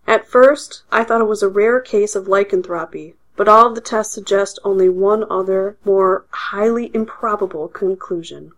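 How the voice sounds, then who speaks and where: A woman's voice: mid-range voice, lightly acted. A woman voicing a solemn, self-important male doctor. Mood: grave. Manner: solemn, measured, pompous. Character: grave, haughty male doctor.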